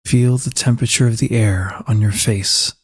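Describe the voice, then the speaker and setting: Deep, husky man guiding meditation. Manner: calm, unhurried, soothing instruction. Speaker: meditation guide. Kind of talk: slow guided meditation narration.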